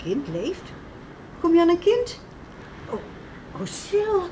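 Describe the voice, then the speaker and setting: High-voiced, slow-speaking caring mother. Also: wildly swooping pitch, dark tone, exaggerated voice. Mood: astonished, tender. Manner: tender, fussing, exclaiming. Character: warm, caring beachcomber's wife and mother. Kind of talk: Dutch radio drama acting. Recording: noisy old radio play recording.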